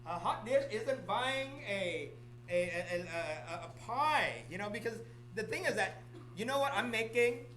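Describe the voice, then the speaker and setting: A man's voice: high-pitched, lively intonation, unhurried, sharp tone, a little hiss or hum. Middle-aged man coaxing staff, "okay?". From Vietnam. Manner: repetitive, coaxing, checks in with "okay?" often. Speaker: real estate office manager or broker. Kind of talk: office meeting announcements. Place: office meeting room.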